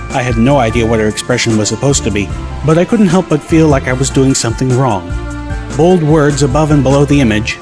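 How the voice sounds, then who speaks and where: Man narrating an audiobook over music. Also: mid-range voice. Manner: measured, dryly wry storytelling. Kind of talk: first-person audiobook narration. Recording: clear music bed behind the voice.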